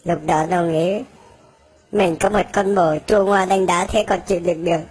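A woman's voice: on the low side, fairly even intonation, moderate pace, breathy edge. A woman acting out lines from comic-strip gags in a comedic dubbing style. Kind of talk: acted comedic dub of comic-strip dialogue. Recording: loud music under the voice. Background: music.

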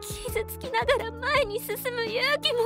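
High-voiced, lovelorn young woman. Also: a little slow, creaky, music in the background, full character voice. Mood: anguished. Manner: wistful, introspective, emotional. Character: lovelorn, timid young woman nursing a first love. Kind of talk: radio drama narration and dialogue.

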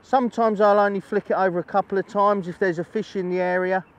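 Male angling presenter, middle-aged, slightly high voice, chatty how-to delivery recorded outdoors. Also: fairly even intonation, quick pace, muffled recording. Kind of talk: instructional fishing demonstration, chatty. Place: outdoors on a lakeside bank.

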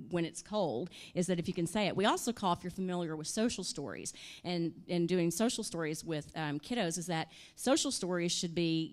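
A woman, speaking through a handheld mic in a hotel conference room. She talks in an animated, chatty way, full of "you know" and "I mean". Her voice sits a little low. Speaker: conference presenter. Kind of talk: workshop talk with audience questions. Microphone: handheld microphone.

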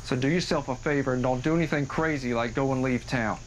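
A man's voice: medium pitch, quick pace, close to an everyday voice, poor audio. A man playing a blunt, skeptical police detective with a sarcastic, accusatory way of talking in a found-footage horror film. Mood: skeptical, stern. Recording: outdoor audio with insect noise.

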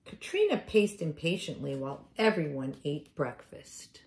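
A middle-aged woman reading a picture book aloud for young children in a deliberate, expressive storytelling way, indoors at home. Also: low voice, slight background noise.

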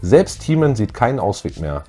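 A man's voice: mid-range voice, very animated, normal speed, dark tone. A middle-aged man co-hosting a fan show, chatting and reading aloud in an informal way. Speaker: co-host of a retro fan show. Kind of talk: casual chat, some reading aloud. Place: small room full of posters and collectibles.

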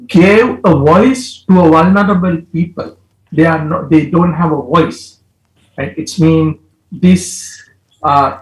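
Halting man on a roomy video call. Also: fairly high pitch. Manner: halting, searching for English words, many repeats. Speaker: film director. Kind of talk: answering questions over a video call. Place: home study with bookshelves. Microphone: headset on a video call.

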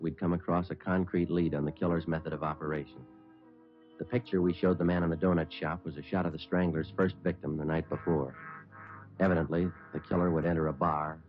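A man playing a terse, matter-of-fact police detective who narrates his case deadpan in an old radio crime drama. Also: very deep voice. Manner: deadpan, understated. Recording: muffled old radio recording with music.

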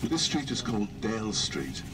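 A man giving sightseeing commentary as a tour guide, explaining in a measured, emphatic way with pauses. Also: fairly low pitch, very animated. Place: inside a moving tour bus. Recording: loud vehicle noise throughout. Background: engine and road noise.

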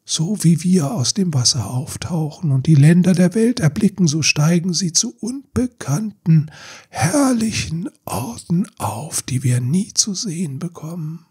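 A man voicing a wise old mermaid grandmother in a storybook, solemn and gentle, with a breathy, half-whispered voice. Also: a little high, expressive, pitched above the performer's own voice, exaggerated voice. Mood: solemn, wistful. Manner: slow, solemn explaining.

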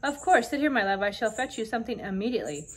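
A woman's voice: fairly high pitch, big pitch swings, quick pace, breathy edge. A woman voicing a small, devoted homebody king in a children's story, with a courtly, formal and fussy manner. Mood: apologetic, eager.

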